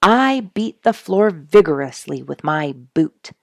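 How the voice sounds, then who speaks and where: Woman storyteller, low and very creaky, expressive children's narration with deliberate dramatic emphasis. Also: no echo, studio quality. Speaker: storyteller and audiobook reader, program host.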